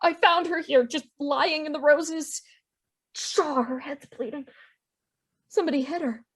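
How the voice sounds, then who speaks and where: A woman's voice: high voice, measured pace. A woman acting in an audio drama as a distraught young co-worker who seems innocent. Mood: distraught, pleading.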